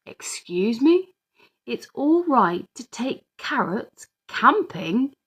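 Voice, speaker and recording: A woman's voice: on the high side, sing-song, slow, a bit bright, husky, pitched above the performer's own voice, full character voice. A woman voicing a jokey, mock-indignant dad in a children's storybook, with a teasing, sarcastic manner.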